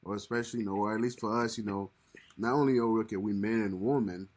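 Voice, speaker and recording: A man's voice: lowish voice, fairly even intonation, quick pace, a little mellow. A young man co-hosting a casual livestream with his wife, rambling and repeating himself as he restarts his sentences. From Haiti. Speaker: co-host of a married couple's livestream.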